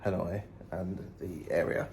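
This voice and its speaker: A man's voice: low-pitched, steady tone, measured pace, a little mellow, slight background noise. A man talking casually and chattily as a travel vlogger. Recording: clear music behind the voice.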